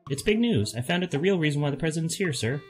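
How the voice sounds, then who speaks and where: An amateur actor voicing an excitable resistance informant in a game drama, clipped and deferential. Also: mid-range voice, quick pace, soft background music, natural voice. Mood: urgent, excited. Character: excitable resistance informant reporting urgent news. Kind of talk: fan-dub video game voice acting.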